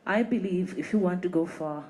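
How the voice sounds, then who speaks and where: A woman speaks earnestly at a live panel about bringing designers to international events. Her voice is very low and dark, and she sometimes repeats herself. A faint hiss lies behind her. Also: normal speed, poor audio. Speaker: fashion program founder.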